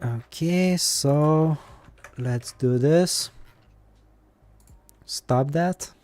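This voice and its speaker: A man with an Eastern European accent, live-streaming while coding and thinking aloud, with many pauses and fillers. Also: a little high, a little mellow.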